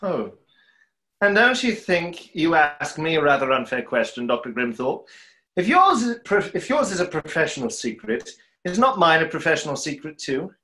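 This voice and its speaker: Man playing a wry, lively stage conjurer. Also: a little high, normal speed. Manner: dry, sardonic, pointed. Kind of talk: online play reading. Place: small room at home, video call.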